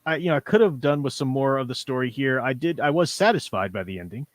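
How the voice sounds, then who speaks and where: Man, forties, medium pitch, dry close sound, casual rambling fan talk, livestream host. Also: expressive, fast talker, dry recording. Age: 40s. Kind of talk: casual fan discussion of comics and audio dramas.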